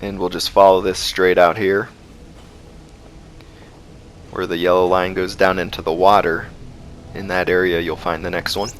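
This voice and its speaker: A man's voice: low-pitched, level tone, moderate pace, long pauses, slight background noise. A man narrating a video game walkthrough as a guide. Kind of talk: walkthrough commentary.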